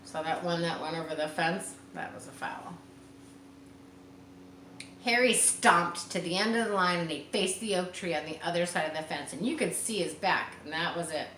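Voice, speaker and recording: A woman reading a children's story aloud expressively, pausing with chatty explanations for young listeners. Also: lowish voice, fairly bright tone. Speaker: storybook reader. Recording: roomy, distant mic, faint background noise.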